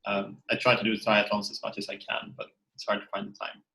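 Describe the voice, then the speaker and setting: A man gives a short, matter-of-fact introduction on a video call. His voice is low and flat, with a bright, tense edge. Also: brisk, gravelly, poor audio. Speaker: club member. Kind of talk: casual self-introduction.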